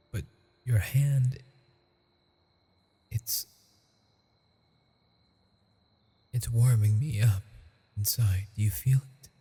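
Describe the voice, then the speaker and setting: A man playing a lonely, tender ghost lover in a romantic ASMR roleplay, speaking haltingly and intimately in a breathy, husky voice. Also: on the low side, mellow, exaggerated voice. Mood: tender, yearning. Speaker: audio roleplay performer. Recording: long reverb with music behind.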